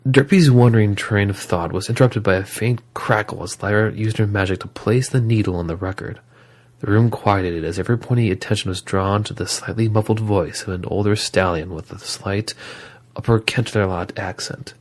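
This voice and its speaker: A man's voice: lowish voice, moderate pace. A man narrating a scripted horror story as a dramatic storyteller.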